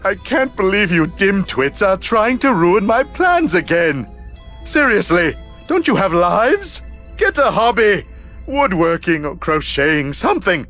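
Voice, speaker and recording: A petulant mad-scientist villain for a cartoon game. The voice is high and swoops theatrically as it sneers and whines. Music plays underneath. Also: male voice, big pitch swings, unhurried, fairly bright tone, exaggerated voice. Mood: sneering, scornful. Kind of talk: cartoon video game cutscene acting.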